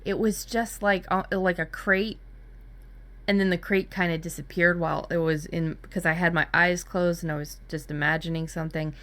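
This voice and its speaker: A woman's voice: on the low side, steady tone, normal speed, a bit bright. A woman co-hosting a workshop in casual, informal chat with a blunt way of talking. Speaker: workshop co-host.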